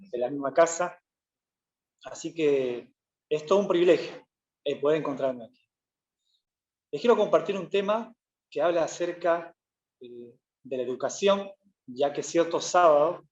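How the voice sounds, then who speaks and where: A man from Peru, preaching in a relaxed, chatty way with many "eh" fillers and self-corrections. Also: fairly high pitch. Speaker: pastor. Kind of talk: conversational sermon. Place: church hall. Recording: roomy church echo, slightly dull.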